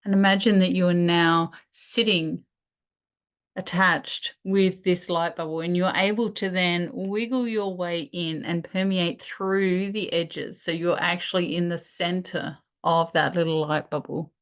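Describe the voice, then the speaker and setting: A woman leading a guided meditation, speaking in a soothing, unhurried way with pauses between phrases. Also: on the low side, fairly even intonation.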